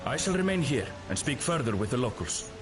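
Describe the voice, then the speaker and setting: A man's voice: medium pitch, sing-song, brisk, music in the background, lightly acted. A man playing a courteous, self-assured companion in a fantasy video game, formal and reassuring. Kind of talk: dramatic video game acting.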